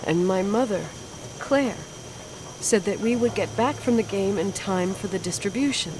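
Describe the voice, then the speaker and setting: A distraught, haunted woman, played by an actress in a dramatic video game. She speaks at a medium pitch, halting and hushed with anguish. Clear ambient noise sits behind her voice. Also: lightly acted. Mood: grave, shaken. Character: distraught grown woman haunted by a repressed family memory.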